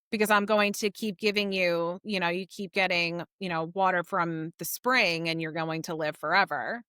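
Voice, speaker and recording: A woman's voice: medium pitch, pushed and bright, clean tone, no room sound, studio quality. A woman co-hosting a podcast, casually recapping and discussing a book in an informal, explanatory way. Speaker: podcast co-host.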